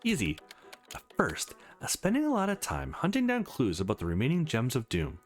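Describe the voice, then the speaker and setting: A man performs a young woman hacker from a visual novel game. She is nerdy and eager, with a playful, cocky edge. Her delivery swings widely in pitch over faint background music. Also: huge pitch swings, brisk.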